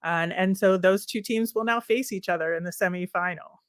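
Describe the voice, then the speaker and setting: A woman's voice: fairly low pitch, a little fast, dry recording, studio quality. A woman co-hosting a sports podcast, talking casually and conversationally, often hedging with little asides.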